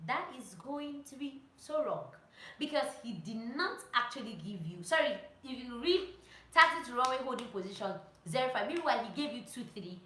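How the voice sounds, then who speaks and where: A woman giving casual, animated instructional vlog talk, telling anecdotes and repeating phrases for emphasis. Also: a little high, moderate pace, slight background noise. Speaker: vlogger and pilot sharing training tips. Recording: roomy echo.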